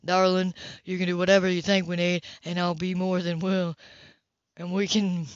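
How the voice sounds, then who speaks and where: A woman's voice: on the low side, little pitch movement, normal speed, dry recording, natural voice. Lady reading a bossy but affectionate big brother guy, audiobook, muffled home recording. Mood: effusive. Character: brash, affectionate grown man, bossy older brother.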